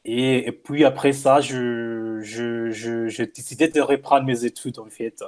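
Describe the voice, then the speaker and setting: A man from Zimbabwe, a native English speaker with a Zimbabwean English accent, giving a personal testimonial in halting non-native speech. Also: mid-range voice, fairly even intonation, a little mellow. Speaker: panel guest, former mentee.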